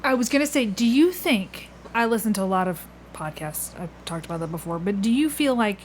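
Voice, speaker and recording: A woman's voice: medium pitch, lively intonation, fairly quick. Casual woman podcast host, noisy background. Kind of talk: casual conversational interviewing, thinking aloud. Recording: clear background noise with room ambience.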